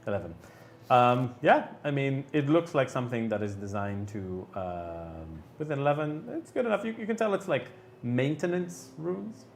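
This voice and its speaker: A man improvising narration as game master of a tabletop game, speaking haltingly with many filler sounds. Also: medium pitch, expressive, creaky, a little hiss or hum. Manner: halting, hesitant delivery full of filler sounds.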